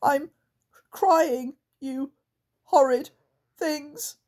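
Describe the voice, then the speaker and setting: Woman voicing a high-pitched young girl. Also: very animated, a little slow, a little mellow. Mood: sobbing. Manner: sobbing then stubborn. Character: upset, determined young girl.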